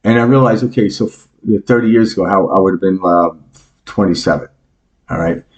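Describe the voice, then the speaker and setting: A man's voice: deep voice, a little fast, darkish tone, lo-fi sound. A man in his fifties with a New York accent, a guest on a podcast, talking casually, joking and explaining ideas with homely examples. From New York, United States. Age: 50s. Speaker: meditation teacher and podcast guest. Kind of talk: casual interview talk.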